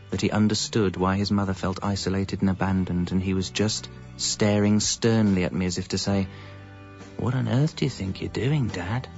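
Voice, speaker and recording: A guilt-ridden British husband and father in a radio comedy drama. His voice sits low and he comes across rueful and wry, as if confessing. The recording is a little dull, with music around it. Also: gravelly, a little mellow. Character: guilt-ridden married father narrating his double life. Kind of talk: scripted first-person narration and acting in radio comedy drama. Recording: dull, muffled audio with music.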